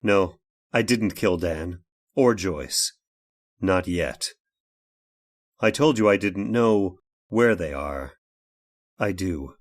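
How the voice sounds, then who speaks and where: An actor playing an anguished, vengeful man in an old time radio horror drama, speaking haltingly with dramatic pauses. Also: low-pitched, expressive. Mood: menacing. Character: anguished man turned vengeful robot, narrating his horror tale. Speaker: narrator and lead actor.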